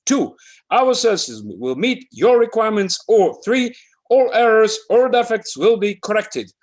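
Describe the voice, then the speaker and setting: Theatrical fine-print declaimer played by a man: high, loud, emphatic, workshop performance. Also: sing-song, unhurried, exaggerated voice. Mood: loud declaiming.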